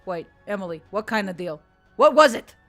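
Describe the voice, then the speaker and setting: A woman's voice: mid-range voice, lively intonation, moderate pace, fairly bright tone, faint music behind. A woman voicing a shaken, tender young female friend in a narrated story. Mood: worried.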